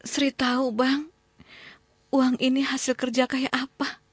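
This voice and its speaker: An actress playing a tearful, devoted young wife in a radio drama, speaking softly and gently. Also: female voice, high-pitched, level tone, slightly rough, dry recording, exaggerated voice. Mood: gentle. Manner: soft, gentle, slow.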